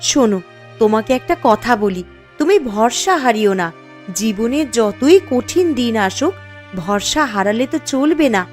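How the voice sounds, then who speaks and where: A supportive young wife, voiced by a woman, speaks earnestly and reassuringly in a cartoon drama. The voice is fairly high, with faint music behind. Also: lively intonation, unhurried, darkish tone. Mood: encouraging. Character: steadfast, supportive young wife of a jobless husband. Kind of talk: Bengali cartoon story dialogue.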